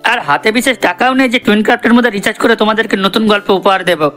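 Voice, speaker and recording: A man's voice: high-pitched, rapid-fire, fairly bright tone. A man voicing an assertive comedy cartoon character, emphatic and matter-of-fact. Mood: earnest. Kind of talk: cartoon character addressing viewers. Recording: voice over background music.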